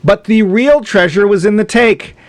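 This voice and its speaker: A man's voice: high voice, moderate pace, a little hiss or hum. A man co-hosting a movie podcast in casual, jokey chat, laughing often. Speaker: podcast co-host.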